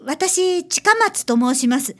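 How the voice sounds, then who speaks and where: A woman's voice: high-pitched, animated, takes it slowly, fairly bright tone, heavily acted. A woman playing a hesitant, very polite, apologetic young woman client in a radio drama. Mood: polite, guarded.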